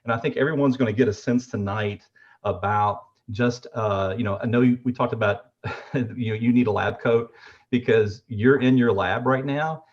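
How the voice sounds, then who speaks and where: A man's voice: medium pitch, moderate pace, lo-fi sound. A man talking casually on a video call, chatty and full of fillers. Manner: chatty and praising, with many fillers.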